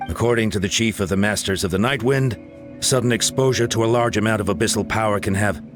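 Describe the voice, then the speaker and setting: A man voicing a deep-voiced solemn elder. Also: fairly quick, music in the background, close to an everyday voice. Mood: grave. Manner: formal, explanatory, somber. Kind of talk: video game voice acting.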